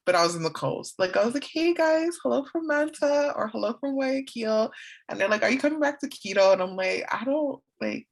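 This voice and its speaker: A woman's voice: a little high, animated, brisk. A young woman, chatting as a guest in a casual interview, laughing often and acting out her own quotes. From Canada.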